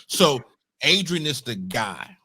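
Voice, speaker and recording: An American man hosting an interview, introducing his guest in a friendly, relaxed way with short restarting phrases. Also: mid-range voice, takes it slowly, husky. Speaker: host.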